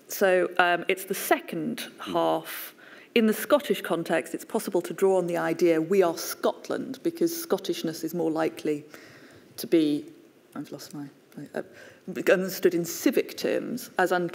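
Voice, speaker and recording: An inquiry lawyer questioning a witness at a formal hearing, speaking in a measured, polite, slightly halting way. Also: medium pitch, gravelly, in a large hall. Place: public inquiry hearing room.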